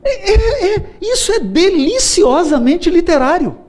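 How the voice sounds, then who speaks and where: A middle-aged Brazilian man lectures with a high, lively voice that swoops up and down. He is playful, laughs often and acts out the people in his stories. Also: measured pace. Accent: Brazilian Portuguese. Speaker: spiritist lecturer. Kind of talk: seminar lecture with storytelling.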